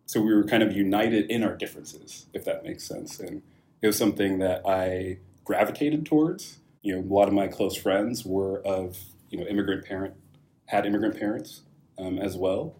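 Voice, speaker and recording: Low-voiced man, relaxed and thoughtful. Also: normal speed. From California, USA. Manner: thoughtful, many fillers and restarts, laughs lightly. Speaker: guest, wine company founder. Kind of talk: casual interview answers.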